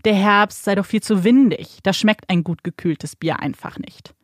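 A young woman hosts a true crime podcast, building suspense with rhetorical questions and measured pacing. Her voice sits mid-range for a woman, recorded dry and close in a studio. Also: mid-range voice, dry recording. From Germany, raised bilingual by Polish parents. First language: Polish. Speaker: podcast co-host telling the case. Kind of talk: dramatic true crime storytelling. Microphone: studio microphone.

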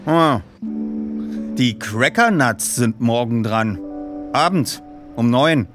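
A man voicing a good-natured, practical teenage boy detective in audiobook dialogue, brisk and matter-of-fact. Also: mid-range voice, animated, music in the background. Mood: friendly.

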